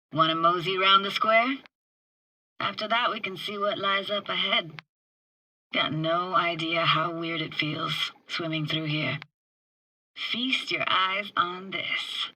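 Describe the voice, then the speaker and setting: A woman's voice: on the low side, sharp tone, heavily acted, poor audio. An actress playing a tough but tender young techie woman in a video game, speaking in a casual, clipped, slangy way. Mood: playful. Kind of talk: naturalistic video game dialogue.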